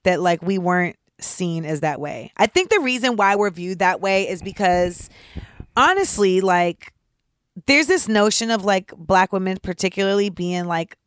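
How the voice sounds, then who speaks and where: A woman in her thirties with a deep voice, hosting a casual commentary show in a candid, sarcastic way and saying "like" often. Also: clear, resonant tone, no room sound, studio quality. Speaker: host.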